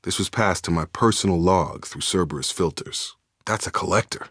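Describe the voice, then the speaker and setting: A man playing a steadfast, guarded space soldier in a video game, speaking in a measured, plain-spoken, earnest way. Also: low-pitched, a bit bright, husky, dry recording, clean studio sound. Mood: calm, serious. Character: steadfast young male space soldier, guarded, duty-minded. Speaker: squadmate in a science fiction game. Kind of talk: video game voice acting, naturalistic dramatic dialogue.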